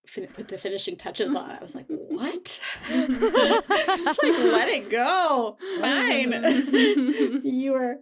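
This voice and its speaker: A woman's voice: high-pitched, very animated, slow, crowd noise. A woman reminiscing casually as a guest, with a wry, conversational way of telling things. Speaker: author and guest.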